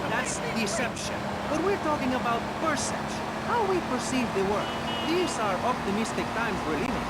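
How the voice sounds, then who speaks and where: An actor playing a nervous, self-important public radio host with a fussy, flustered, pompous manner. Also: male voice, high-pitched. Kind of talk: satirical radio talk show acting. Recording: loud car and street noise over it.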